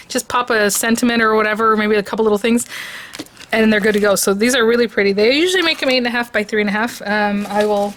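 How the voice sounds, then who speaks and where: A hoarse woman hosting a crafting video, chatting excitedly as she reviews and tries out new dies. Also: mid-range voice, a little hiss or hum. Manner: chatty and excitable, gushing over products. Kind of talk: casual product review and crafting demo.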